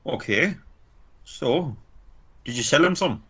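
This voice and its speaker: A man's voice: medium pitch, lively intonation, slow pace, a bit bright, slightly rough, exaggerated voice. A man with an Irish accent playing a complacent, dismissive small-town police officer in an amateur radio play. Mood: doubtful. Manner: placating, hesitant, officious.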